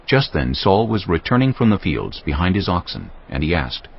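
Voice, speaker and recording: Very deep, husky male scripture narrator. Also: very animated, moderate pace. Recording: slightly muffled studio sound.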